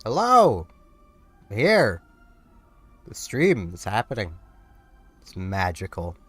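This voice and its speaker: A man's voice: on the low side, huge pitch swings, slow, a bit bright, husky, dry recording, soft background music. Congested man streaming. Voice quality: a bit congested. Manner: rambling, self-mocking, laughs often. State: congested, getting sick. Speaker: game streamer. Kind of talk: casual gameplay commentary.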